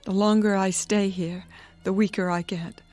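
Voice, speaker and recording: A suspicious, bitter invalid wife in a radio play, acted by a woman. She is frail yet intense, speaking warily at an average female pitch. Soft music sits underneath. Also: flat delivery, normal speed, natural voice. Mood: fearful, bitter. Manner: wary, sighing, intense. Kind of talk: modern radio drama acting.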